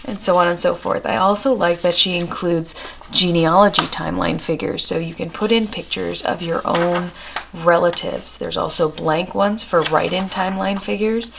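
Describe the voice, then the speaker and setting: A homeschooling mother with a hoarse voice from a cold, chatting through a friendly show-and-tell review on her vlog. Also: on the low side, fairly even intonation, moderate pace, background noise. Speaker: homeschool vlogger. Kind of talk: casual product review.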